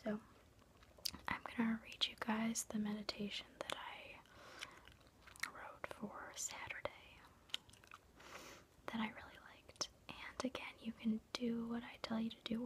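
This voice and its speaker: A woman whispering softly and breathily in a gentle, casual, reassuring way, as an ASMR host chatting and guiding a meditation into her phone. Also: on the high side, level tone, measured pace. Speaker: ASMR video host leading a guided meditation. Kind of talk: whispered ASMR, soft informal chat and guided meditation.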